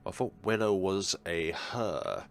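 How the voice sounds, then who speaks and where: A man's voice: low voice, takes it slowly, gravelly, slight background noise. A man giving casual let's play commentary, reading narration aloud and wryly talking back to the characters. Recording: faint game music behind.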